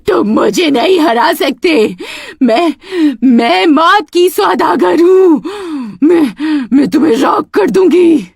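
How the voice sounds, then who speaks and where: Storybook witch, vengeful, old, high-pitched, theatrical menace, drawn-out threats, dry studio sound. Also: animated, gravelly, dry recording, heavily acted. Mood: threatening, furious. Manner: menacing, dramatic, drawn-out delivery. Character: vengeful wicked old witch, firecracker seller, merchant of death. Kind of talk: dramatic moral-tale voice acting.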